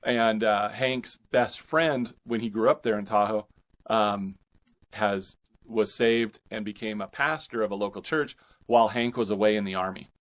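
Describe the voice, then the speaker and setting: Male podcast guest, low voice, halting and conversational, thinking aloud as he talks. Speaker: podcast guest, fiction author. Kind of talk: casual interview conversation.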